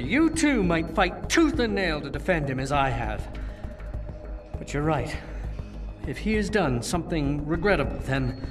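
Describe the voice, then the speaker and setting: Game's movement leader: grieving, righteous, formal and oratorical, slightly raised pitch, music underneath. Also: male voice. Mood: solemn, resigned. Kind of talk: dramatic video game acting.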